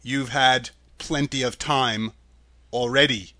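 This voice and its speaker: A man voicing a stern, earnest tin man in a storybook, speaking in a deliberate, measured way. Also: mid-range voice, fairly even intonation, fairly bright tone, dry recording. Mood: angry.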